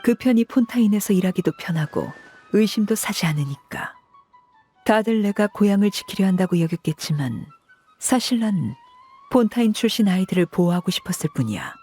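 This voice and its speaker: A woman voicing a cool, composed matriarch in an anime game, speaking in a measured, formal and aloof manner. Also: medium pitch, lively intonation, dark tone, slightly rough, soft background music. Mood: cool, guarded. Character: cool, commanding woman, composed head of an orphanage family.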